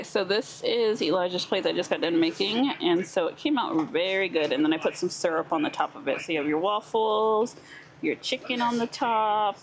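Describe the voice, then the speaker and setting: A woman's voice: sits low, a little hiss or hum, poor audio. A young woman from California chatting casually with many ums while she cooks in her home kitchen. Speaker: homestead vlogger. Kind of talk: casual cooking vlog chat.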